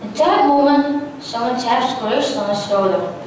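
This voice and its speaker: A woman's voice: a little slow, a little mellow. A young woman reading scripted narration on stage, heard from a distance in an echoey hall. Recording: echoey hall, distant mic, faint rumble.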